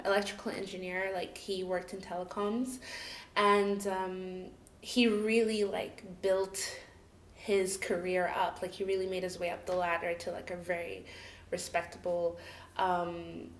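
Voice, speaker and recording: A woman from Cameroon who grew up in the UAE, a guest telling her life story in a casual, chatty way, full of "like" and "you know" and quick laughs. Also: fairly low pitch. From Cameroon, raised in the UAE. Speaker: interview guest. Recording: echoey room.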